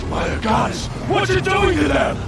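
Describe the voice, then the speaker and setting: Panicked warrior: very high, slow drawn-out shouts, distant, under loud music and battle din. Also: male voice, big pitch swings, gravelly, full character voice. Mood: alarmed. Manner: frantic shouting, drawn-out cries. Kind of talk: dramatic video game acting.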